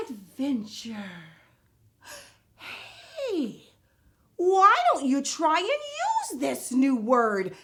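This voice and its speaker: A woman playing a bubbly, excitable vocabulary teacher for a children's show, with exaggerated gasps and giggles. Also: high-pitched, extremely slow, pauses often, voice pushed up, full character voice. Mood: excited.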